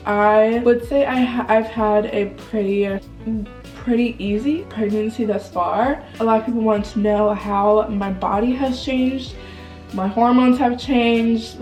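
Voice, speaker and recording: A woman's voice: mid-range voice, dark tone, roomy sound, far from the mic, poor audio. A young woman vlogger with a stuffed-up nose, chatting candidly and casually with lots of filler words. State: congested. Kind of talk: casual vlog chat.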